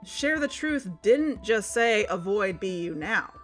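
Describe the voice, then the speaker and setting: A determined podcast investigator played by a woman with a mid-pitched voice and light music behind. She lays out suspicions in an assertive, scripted documentary style for an audio drama. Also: lively intonation, slow. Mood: insistent.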